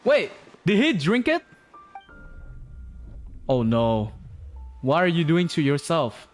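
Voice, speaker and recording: A man's voice: on the high side, huge pitch swings, slow pace. A man live streaming a game, reacting casually in slangy short bursts. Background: game music.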